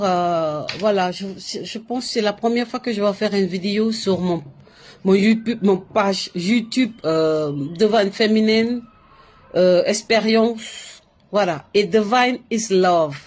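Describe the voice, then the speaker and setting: A woman's voice: mid-range voice, darkish tone. Emphatic woman from Latin America. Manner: emphatic, repetitive, uses many fillers and restarts. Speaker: spiritual live-streamer. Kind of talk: casual live-stream monologue.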